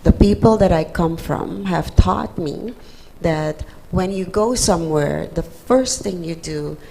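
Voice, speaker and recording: A woman's voice: lowish voice, slow, gravelly, mellow, poor audio. A woman from Suriname whose first language is Dutch, speaking earnestly as a panelist introducing herself and her anti-racism work. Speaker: city anti-racism program manager.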